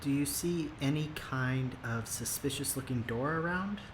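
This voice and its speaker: A man's voice: medium pitch, measured pace, noisy background, natural voice. A man acting a flippant, teasing scientist in an audio drama, with a wry, offhand delivery. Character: sarcastic, flippant, lively male scientist colleague.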